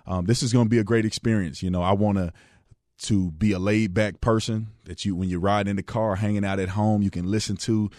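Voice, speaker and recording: A man in his thirties from Texas, hosting his own radio show in a relaxed, chatty storytelling way with frequent restarts. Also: fairly low pitch, fairly quick, dry recording, clean studio sound. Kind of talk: casual radio monologue.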